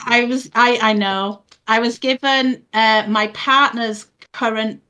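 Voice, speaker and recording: Bright-voiced Welsh woman chatting. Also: fairly high pitch, fairly even intonation, slow pace. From Wales. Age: 30s. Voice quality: soothing. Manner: chatty, rambling, many fillers and restarts. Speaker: guest, VTuber. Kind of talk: casual interview chat. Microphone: boom mic.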